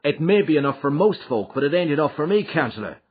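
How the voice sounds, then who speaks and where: A man voicing a bold, hearty young gangster in an audiobook, cocky and quick to answer back. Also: on the high side, very animated, slightly rough, narrow, tinny audio, pitched above the performer's own voice. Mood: defiant. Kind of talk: audiobook character acting.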